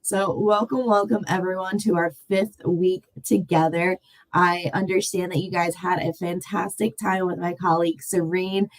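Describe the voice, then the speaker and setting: A woman leads an online nutrition class in a lowish, cheerful voice. She sounds a little nasal and congested, recovering from laryngitis, and talks through a video call mic. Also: low-quality recording. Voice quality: slightly nasal. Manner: upbeat, chatty teacher. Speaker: nutrition educator.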